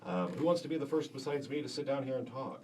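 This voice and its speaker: A deep-voiced man teaching a class in a school radio studio, explaining casually with plenty of fillers. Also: expressive, fast talker, darkish tone. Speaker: broadcasting instructor. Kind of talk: classroom demonstration. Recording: distant mic with faint room hiss.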